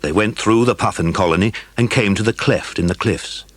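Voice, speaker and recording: A man's voice: lowish voice, brisk, no room sound. A man reading scripted story narration for an audiobook.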